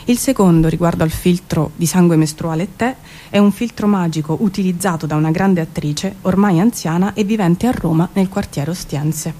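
A woman giving an expressive, wry theatrical reading of literary prose. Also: on the low side, normal speed, few pauses. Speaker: performer reading prose poems. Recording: music behind the voice. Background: music.

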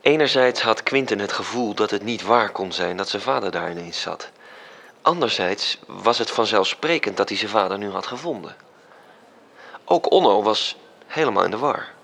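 An actor playing a measured storyteller, reading scripted literary narration for a radio drama. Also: male voice, fairly low pitch, expressive, gravelly, fairly bright tone, exaggerated voice. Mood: reflective. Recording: music under the voice. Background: music.

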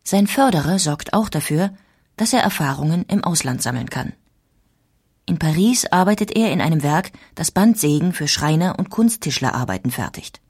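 A woman narrating a historical audiobook in a measured, formal way. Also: deep voice, expressive, darkish tone, breathy edge. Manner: measured, formal documentary delivery.

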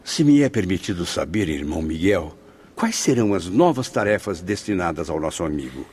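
A man's voice: low voice, very animated, brisk, darkish tone, breathy edge, exaggerated voice. A man with a Brazilian accent playing a humble, earnest, sorrowful spirit disciple in a radio drama, speaking formally and with feeling. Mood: earnest, inquiring. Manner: formal, emotive. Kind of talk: Portuguese-language radio drama acting. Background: music.

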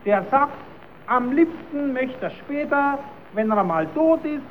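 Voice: sits high, expressive, unhurried. A performer playing a cheeky puppet clown who stammers as he spins tall tales for children in a German radio play. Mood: eager. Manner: stammering, repeating himself, improvising tall tales. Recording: tinny, narrowband, hissy old radio recording.

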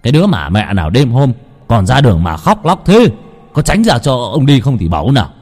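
A man's voice: mid-range voice, big pitch swings, fairly quick, darkish tone, breathy edge, long reverb tail, soft background music. Man doing a drunk, blustering village man. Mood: angry. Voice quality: slurred, coarse, blustering. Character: drunken middle-aged village man, hot-tempered.

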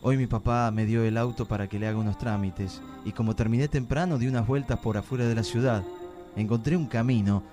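A man's voice: medium pitch, brisk, mellow, lightly acted. Man acting young suitor, Argentine accent, soothing, radio drama, faint music. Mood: reassuring. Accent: Argentine Spanish. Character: young male suitor, eager and reassuring.

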